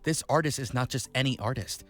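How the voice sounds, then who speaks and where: A man's voice: medium pitch, fairly quick, fairly bright tone, soft background music, natural voice. An actor playing an earnest, friendly young male startup founder, explaining supportively in a visual novel game. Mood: earnest, proud.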